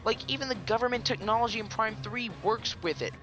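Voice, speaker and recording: A man's voice: sits high, brisk, dull audio, over music. A man giving scripted commentary for a gaming video, sarcastic and profane, with ranting asides.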